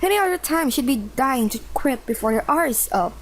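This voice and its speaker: Woman playing a high-voiced, exasperated mother. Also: lively intonation, fairly quick, slight background noise. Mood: busy. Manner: scolding, exclamatory, motherly. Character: busy, exasperated middle-aged mother of teenagers. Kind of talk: radio play acting.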